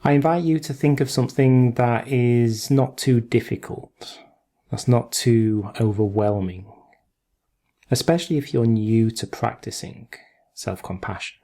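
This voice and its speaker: A man guides a meditation in a soft-edged, mellow voice with a gravelly creak. He gives gentle instructions very slowly, in short phrases with long pauses between them. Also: mid-range voice.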